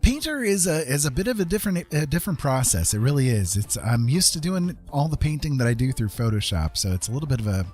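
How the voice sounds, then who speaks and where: A man's voice: mid-range voice, sing-song, fast, few pauses, a little mellow, husky, soft background music. A man chatting casually as he streams a painting session, thinking aloud in a hesitant, rambling way. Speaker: streamer giving art tutorials. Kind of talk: casual livestream chat while painting.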